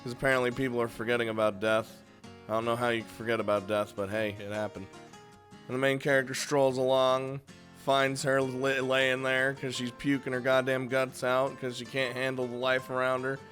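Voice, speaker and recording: A man's voice: mid-range voice, flat delivery, normal speed, fairly bright tone, faint music behind. A man hosting a casual, unscripted countdown of games, chatty and crude, swearing freely. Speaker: video host and game reviewer.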